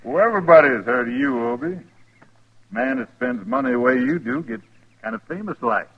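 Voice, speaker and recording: A man's voice: mid-range voice, expressive, brisk, gravelly. An actor playing a taunting Western outlaw henchman with a rural American drawl in an old radio western. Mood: taunting, menacing. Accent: rural American West. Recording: noisy, muffled old radio recording.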